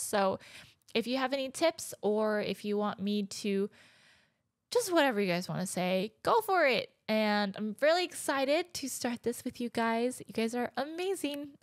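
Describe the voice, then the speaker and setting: Young female podcast host, candid and chatty, slightly high voice, dry close-mic sound. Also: dry recording. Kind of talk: casual solo podcast chat. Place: living room, on a couch. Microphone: handheld dynamic mic, close.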